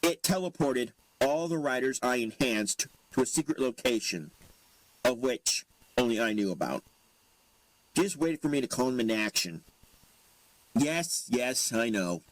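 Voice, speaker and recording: A man playing a composed, self-assured superhero leader who talks in a measured, dryly smug way. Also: mid-range voice, animated, no echo, lightly acted, poor audio. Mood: dry, defensive. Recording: loud music over the voice.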